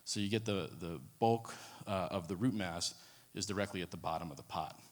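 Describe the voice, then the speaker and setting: Low-voiced man presenting in a reverberant hall. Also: fairly quick. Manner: casual, many ums and restarts. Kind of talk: conference talk. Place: conference room.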